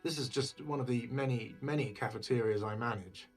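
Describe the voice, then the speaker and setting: A man's voice: mid-range voice, a little fast, faint music behind, natural voice. An actor playing an irritable, defensive cafeteria manager in a video game, exasperated and sarcastic, leaning hard on his words.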